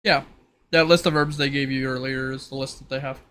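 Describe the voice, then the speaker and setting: A man's voice: medium pitch, steady tone, a little fast, fairly bright tone, slight background noise. A man running a tabletop roleplaying game as game master, casually explaining rules in a conversational way.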